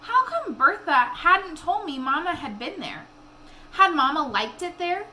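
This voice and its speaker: Woman, high bright voice, expressive storybook read-aloud, faint background noise. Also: measured pace. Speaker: reader of a children's novel.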